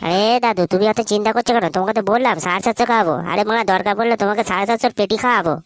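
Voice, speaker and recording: A woman's voice: mid-range voice, rapid-fire, crowd noise, lightly acted. A woman voicing a pushy, foul-mouthed young lad who wheedles as a sidekick in a comedy cartoon. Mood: exasperated. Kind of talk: Bengali comedy cartoon voice acting. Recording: noisy audio with clicks.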